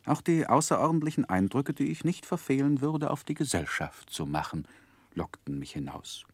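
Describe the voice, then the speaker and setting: An actor playing a grown gentleman who looks back on his youth, narrating a 1960s German radio play in a measured, expressive literary manner. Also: mid-range voice, husky, no echo. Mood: wistful, amused.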